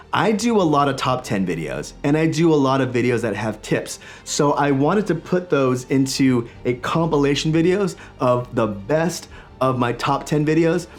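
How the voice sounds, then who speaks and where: An enthusiastic man in his forties talks casually to his camera from a small home studio, with a slight rasp left over from being sick. His pitch sits in a plain middle range, and he chats along in a lively, friendly flow. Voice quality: slightly raspy voice. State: recovering from illness. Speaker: toy collecting channel host. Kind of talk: casual vlog talk to camera.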